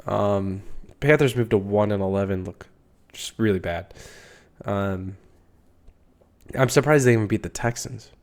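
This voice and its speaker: A man's voice: fairly low pitch, a little slow, darkish tone. A young man with a congested, stuffy voice, rambling casually through a solo sports podcast with lots of fillers and the odd swear. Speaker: podcast host.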